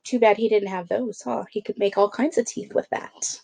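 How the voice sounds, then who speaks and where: A woman's voice: mid-range voice, fairly even intonation, normal speed, vocal fry, a little mellow, no room sound. A woman teacher reading a picture book aloud, adding conversational explanations and check-in questions for children.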